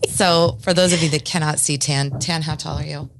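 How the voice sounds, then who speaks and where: A woman's voice: low-pitched, fairly even intonation, moderate pace, vocal fry, a little hiss or hum. A woman from Eastern Washington in her forties, hosting a podcast with an earnest, heartfelt manner. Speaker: podcast host. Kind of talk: podcast intro and casual interview.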